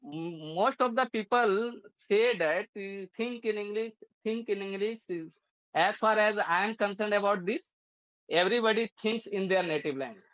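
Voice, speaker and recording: Earnest man learning English, halting speech. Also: high-pitched, fairly bright tone, no room sound, poor audio. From India. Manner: earnest, halting English with restarts, calls the tutor ma'am.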